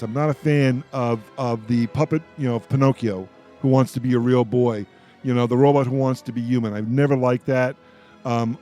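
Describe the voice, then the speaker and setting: A man with a mid-range voice hosts a casual radio discussion. He speaks in a halting, opinionated way, often repeating words as he works toward his point. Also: mid-range voice, mellow. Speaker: roundtable host on a radio show.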